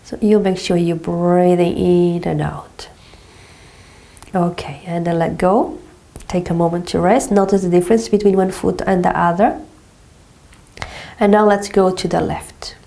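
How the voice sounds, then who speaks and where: A woman with a blocked nose from a cold, calmly guiding a movement exercise step by step. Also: lowish voice, vocal fry. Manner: slow, calm step-by-step guidance with frequent "okay". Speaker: movement teacher. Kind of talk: guided exercise instruction.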